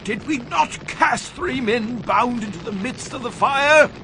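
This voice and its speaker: A man's voice: extremely high pitch, a little slow, noisy background. An actor playing a proud, wrathful ancient Babylonian king, declaiming grandly in a dramatized scripture recording. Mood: astonished. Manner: theatrical, declamatory, imperious.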